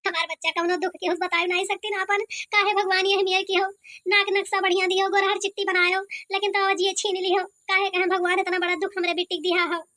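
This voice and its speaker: A woman's voice: very high voice, flat delivery, fairly quick, bright, forceful tone. A woman voicing a protective, anguished village mother in an Awadhi cartoon, with an Awadhi accent and a plaintive, scolding manner. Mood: sorrowful lament. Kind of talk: cartoon comedy voice acting.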